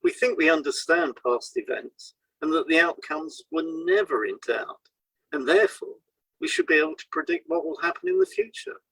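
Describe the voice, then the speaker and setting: A man co-hosting an educational podcast, reading scripted explanations in a measured, explanatory way. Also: a little high, sing-song, a bit bright, breathy edge, no echo, poor audio. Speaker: podcast co-host and hypnotherapist.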